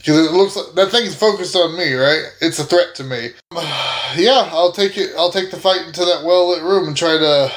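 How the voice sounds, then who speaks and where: A man's voice: fairly high pitch, normal speed, fairly bright tone. A man chatting casually as a player in a tabletop role-playing game.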